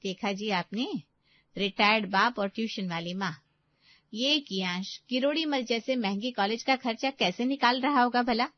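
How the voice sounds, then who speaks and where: A woman's voice: on the high side, moderate pace, no echo, dull audio, natural voice. A woman playing a snobbish, suspicious middle-aged mother with a pointed, gossipy manner in a story. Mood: scornful. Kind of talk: acted story dialogue.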